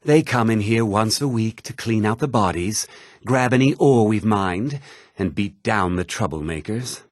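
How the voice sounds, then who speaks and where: An actor voicing blunt, haughty men of a fantasy game world. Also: male voice, lowish voice, animated, a little slow, no room sound, studio quality. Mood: gruff, blunt. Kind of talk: fantasy game character dialogue.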